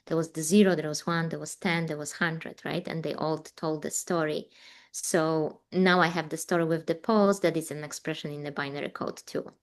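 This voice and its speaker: A woman's voice: deep voice, steady tone, moderate pace. A woman in her forties from Poland with a Polish accent, chatting in an interview as a guest artist and rambling with fillers. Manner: rambling, warm, frequent fillers and laughs. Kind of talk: casual interview chat.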